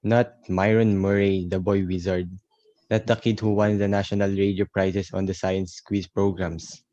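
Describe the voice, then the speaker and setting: A man's voice: low voice, little pitch movement, normal speed, dark tone, no echo, natural voice. A man playing a skeptical, teasing older brother in an amateur radio play. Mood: amazed. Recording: dull lo-fi dry recording.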